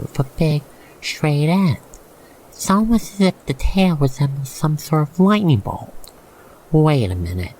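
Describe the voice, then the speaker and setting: Gravelly, creaky male ASMR storyteller. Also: on the high side, mellow, rough recording. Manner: theatrical storytelling, addresses listener directly. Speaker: narrator. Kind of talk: ASMR roleplay narration to the listener.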